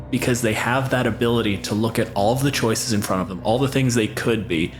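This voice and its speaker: Male podcast host over music. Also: on the low side. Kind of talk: casual opinionated panel chat with swearing.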